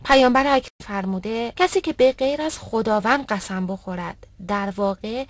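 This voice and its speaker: Fairly high-voiced pious cartoon mother played by a woman. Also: no echo, lightly acted. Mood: instructive. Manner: didactic, earnest. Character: pious, instructive mother gently correcting her son. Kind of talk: dubbed educational cartoon dialogue.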